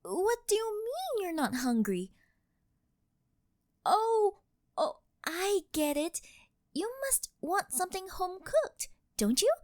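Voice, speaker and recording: Woman acting a high-voiced, doting rich yandere girlfriend. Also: very animated, darkish tone, dry recording, clean studio sound, exaggerated voice. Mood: flustered, insistent. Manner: coaxing, sugary, drawn-out pet-name delivery. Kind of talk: ASMR girlfriend roleplay.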